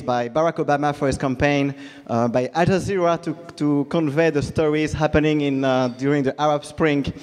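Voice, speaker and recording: A man's voice: a little high, darkish tone. A man in his thirties from Belgium with a French-speaking Belgian accent, giving a conversational conference talk into a handheld mic, with many fillers and restarts. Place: large hall with audience. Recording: reverberant hall.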